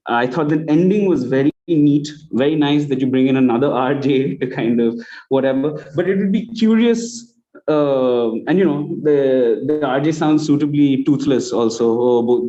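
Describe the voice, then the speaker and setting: A man with an Indian accent offers critique in a conversational way. His voice is soft-edged and dark, sitting at an ordinary male pitch. The sound is dull and lo-fi, as on an online call. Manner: conversational, acts out example lines. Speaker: judge giving feedback on student audio plays. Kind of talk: spoken critique on an online event.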